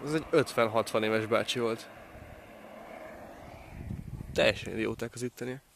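A man's voice: medium pitch, vocal fry, noisy background. A man vlogging in casual chat, swearing freely as he goes. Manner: casually foul-mouthed. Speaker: vlogger filming behind the scenes.

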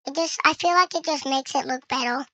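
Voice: high-pitched, a little fast, pushed and bright, no room sound. A young gamer giving casual gameplay commentary, with the voice run through a voice changer. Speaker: gaming video creator.

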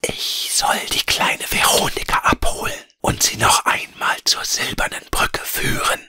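A man voicing a gently knowing male air spirit in a fairy-tale audiobook, speaking in a soft, breathy whisper. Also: unhurried, no room sound. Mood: soft, inviting.

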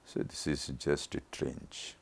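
A man narrating his own eye surgery as a teaching lesson, his voice rough from a throat infection, explaining each step in a measured way. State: recovering from a throat infection, voice not normal. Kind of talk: slow surgical teaching narration.

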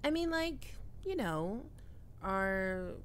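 Woman voicing a snarky, flustered catgirl with lively pitch. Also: drawn-out words, slight background noise. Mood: shy, hesitant. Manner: hesitant, stammering, teasing, tsundere bluster. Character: flustered, defensive young half-vampire catgirl roommate with a snarky streak. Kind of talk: ASMR roleplay.

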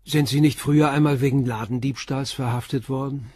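An actor playing a persistent, probing police inspector in a crime radio play, questioning in a terse, insistent way. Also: male voice, mid-range voice, fairly even intonation, fairly quick, a little mellow, slightly rough, no room sound, studio quality, close to an everyday voice.

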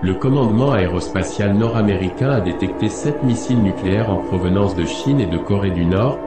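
Low, echoey man reading an emergency alert. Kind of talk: scripted emergency alert announcement.

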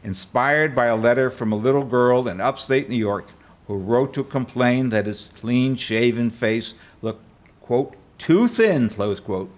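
An older man performs a formal nineteenth-century delegate in a staged radio play. He recounts events in a measured, medium-pitched voice, reading from a script into a stage microphone. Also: close to an everyday voice. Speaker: amateur actor reading a historical part. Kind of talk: scripted first-person narration at a staged reading. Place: auditorium stage before an audience. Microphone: microphone on a stand.

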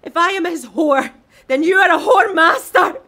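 Young Scottish daughter: very high, bright, impassioned, defiant and distressed radio drama voice. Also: female voice, normal speed, exaggerated voice. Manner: impassioned, pleading.

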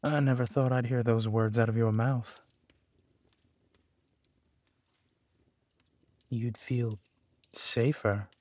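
An actor playing a protective villainous duke, tender and soothing, in an intimate audio roleplay. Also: male voice, mid-range voice, fairly even intonation, no echo, lightly acted. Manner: gentle, soothing, unhurried.

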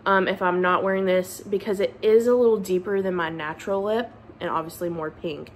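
A woman's voice: lowish voice, steady tone, a little fast. An American woman chatting casually as a vlogger about products, with a gushing, emphatic manner. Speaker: beauty and book vlogger. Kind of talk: casual chat, makeup product rundown. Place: casual vlog while at a beach trip. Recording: clear ambient background noise.

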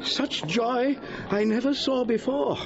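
An actor playing a sociable, gossipy gentleman eyewitness in a Shakespearean audio drama, with an animated, relishing delivery. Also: extremely high pitch, slow, full character voice. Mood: delighted, excited. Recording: dull audio with background noise.

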